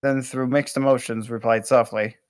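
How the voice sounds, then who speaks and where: A man narrating stage directions at an amateur table read, reading haltingly with small stumbles. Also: mid-range voice, fairly even intonation, no room sound.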